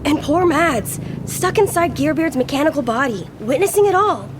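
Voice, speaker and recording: Caring but scolding restaurant woman, fairly high voice, rumbling noise behind. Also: expressive, normal speed. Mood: pitying, reproachful. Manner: reproachful, earnest. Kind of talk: scripted audio drama acting.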